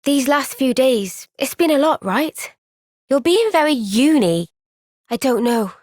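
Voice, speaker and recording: An actress playing a young soldier heroine in a video game, earnest, gentle and resolute, speaking with soft, measured sincerity. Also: female voice, high-pitched, animated, dry recording, clean studio sound. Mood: thoughtful, earnest. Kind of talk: video game cutscene voice acting.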